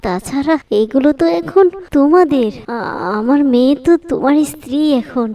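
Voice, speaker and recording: A woman's voice: high voice, lively intonation, normal speed, dark tone, far from the mic. A woman voicing a humble, pious middle-aged village mother-in-law in a cartoon, with a plaintive, pleading manner. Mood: gentle, resigned. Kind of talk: cartoon voice acting.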